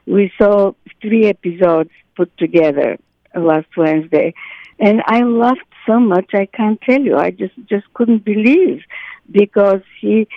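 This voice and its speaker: A woman actress talks animatedly as an interview guest, her voice carrying a gravelly edge. She often stops and restarts her phrases. The sound is dry and a bit muffled. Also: medium pitch, no room sound. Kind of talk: interview chat.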